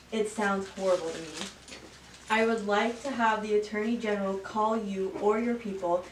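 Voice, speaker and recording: A woman's voice: medium pitch, natural voice. A woman playing a self-serving, pushy male president in an amateur satire. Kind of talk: amateur student satire acting. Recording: roomy, distant mic, background noise.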